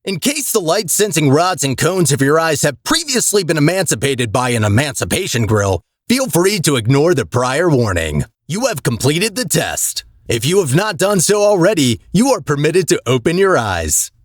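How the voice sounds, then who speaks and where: An actor voicing a calm, formal automated announcer in a video game, reading test instructions in a detached, officious manner. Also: medium pitch, moderate pace, dry recording, clean studio sound. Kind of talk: scripted deadpan public-address announcements.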